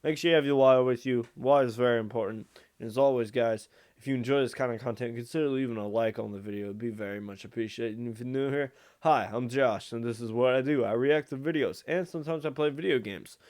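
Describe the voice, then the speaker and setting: A man's voice: mid-range voice, quick pace, a little mellow. A young man hosting a reaction video, chatting casually to camera while speaking stiffly through a sore tongue. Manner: chatty, laid-back.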